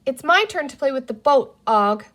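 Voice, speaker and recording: A woman's voice: sits high, expressive, moderate pace, a bit bright, pitched above the performer's own voice. A woman voicing an impulsive small boy in a children's storybook, blurting things out in simple, childish words. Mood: curious, amiable. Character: small impulsive boy, outraged over toys, amiable.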